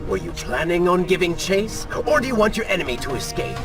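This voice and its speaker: A man playing a prince's mocking, cynical dark alter ego in a video game, with sarcastic, goading delivery. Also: high voice, sing-song, brisk. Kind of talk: video game cutscene acting. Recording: loud music over the voice.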